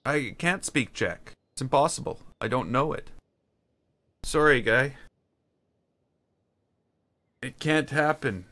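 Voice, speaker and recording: A man streaming a game, chatting casually and haltingly, answering chat while he builds. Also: mid-range voice, animated, bright, forceful tone. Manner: rambling, halting, answers chat between tasks.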